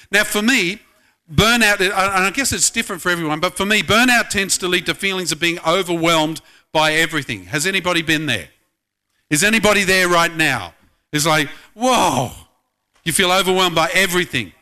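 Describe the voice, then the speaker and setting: A middle-aged man preaches in a loose, conversational way and keeps putting questions to his congregation. His voice sits high for a man and has a bright, forceful edge. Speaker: pastor. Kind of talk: sermon.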